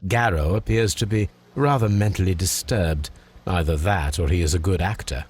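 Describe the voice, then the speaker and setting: An actor playing a composed, probing English detective in a video game, with a formal, measured, politely clipped manner. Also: male voice, sits low, a little hiss or hum. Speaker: detective questioning suspects. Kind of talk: scripted video game acting.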